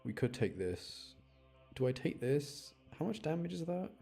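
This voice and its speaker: A man's voice: a little high, big pitch swings, a little slow, gravelly, mellow, husky, faint music behind. A man doing casual live game commentary for his chat, rambling and thinking aloud as he plays. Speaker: game streamer.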